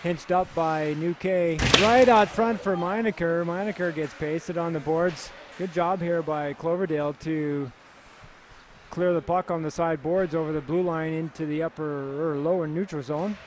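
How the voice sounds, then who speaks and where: A Canadian man calls a youth hockey game in a relaxed, chatty way, his voice sitting a little high. His commentary is loose and full of filler words. Faint background noise runs under the recording. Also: normal speed. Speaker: play-by-play commentator.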